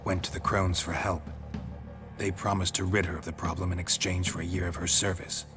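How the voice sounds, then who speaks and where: A world-weary fantasy game monster hunter, played by a man. His voice is extremely low, and he speaks in a terse, guarded, matter-of-fact way. Music plays clearly underneath. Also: little pitch movement, brisk, lightly acted. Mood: grave. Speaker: game protagonist. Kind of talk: restrained video game voice acting.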